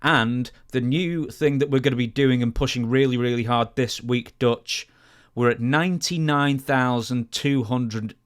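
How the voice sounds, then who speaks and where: A man with a medium-pitched voice co-hosts a podcast. He talks in a casual, conversational hosting style, moving between chat and show plugs. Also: unhurried, clear, resonant tone. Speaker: podcast co-host.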